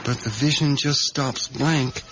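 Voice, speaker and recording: An actor playing a haunted, obsessive diner worker in a radio drama, with folksy, plain-spoken rural speech and hesitant, repetitive, intense storytelling. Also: male voice, mid-range voice, fairly even intonation, measured pace, close to an everyday voice. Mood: agitated, anguished. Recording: dull, noisy 1980s radio recording.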